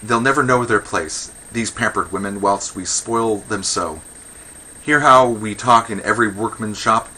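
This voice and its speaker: A man's voice: fairly low pitch, a little slow, bright, forceful tone, a little hiss or hum, low-quality recording. A man playing a pompous, indignant old Athenian magistrate in a verse comedy, declaiming with blustering contempt for women. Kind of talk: verse comedy read aloud.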